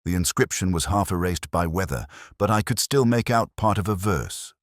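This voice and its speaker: A man narrating a scripted first-person detective story in a measured, reflective, literary manner. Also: low voice, dry recording, clean studio sound.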